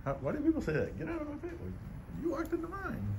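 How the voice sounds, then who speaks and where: A man's voice: very high voice, huge pitch swings, quick pace, noisy background. A man filming in public outside a post office, chatting and needling passersby with a sarcastic, laughing manner. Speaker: First Amendment auditor filming in public. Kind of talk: casual confrontational street filming.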